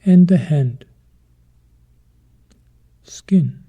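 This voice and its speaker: A man leading a guided meditation as a teacher, calm and measured, in short phrases with pauses between them. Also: a little high, breathy edge, highs cut off.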